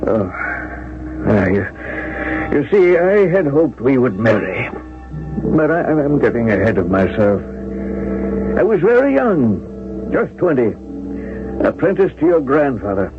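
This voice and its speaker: A man's voice: mid-range voice, sing-song, a little slow, long pauses. An actor playing a wistful, secretive old uncle in a 1970s radio drama, hesitant as he reminisces. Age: older. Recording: tinny old radio with music.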